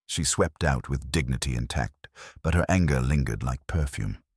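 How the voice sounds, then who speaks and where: A man with an extremely low voice narrates a period mystery. His tone is husky and his pitch moves expressively through measured, literary sentences. The sound is dry and close. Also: lively intonation, dry recording. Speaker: narrator of a detective story.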